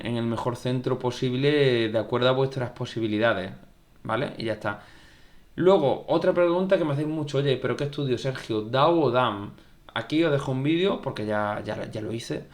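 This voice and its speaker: A young man gives casual, conversational advice about programming studies, often repeating phrases and acting out viewer questions. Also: medium pitch. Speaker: YouTube tech educator. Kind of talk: casual advice talk to camera.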